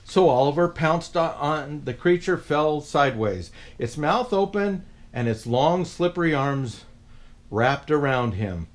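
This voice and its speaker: Animated man reading a picture book aloud. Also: a little high, a little slow. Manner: animated, with playful asides to young listeners. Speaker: storyteller in a Santa persona.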